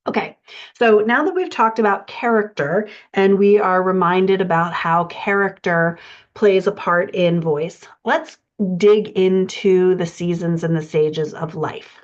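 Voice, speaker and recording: A woman with a somewhat low voice speaks as a coach presenting a lesson. She explains things in an instructional way, often putting rhetorical questions to the listener. The recording is a little dull and lo-fi. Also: measured pace. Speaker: writing coach and podcast presenter. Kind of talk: instructional talk on fiction writing. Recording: dull, lo-fi audio.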